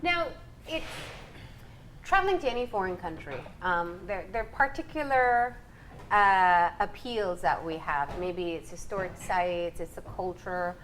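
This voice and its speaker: A woman's voice: on the low side, animated, a little slow, fairly bright tone. Woman interviewer over noticeable noise. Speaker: TV interview host. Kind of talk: conversational studio interview.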